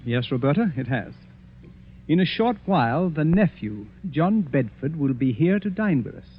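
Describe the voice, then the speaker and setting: Smug grand detective, fairly high voice, portentous, old 1940s radio drama, muffled. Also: male voice, very animated, normal speed. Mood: grave, deliberate. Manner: self-assured, portentous. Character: Sir William, imperious, egotistical retired master detective. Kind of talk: 1940s radio mystery acting. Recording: muffled, hissy vintage 1940s radio recording.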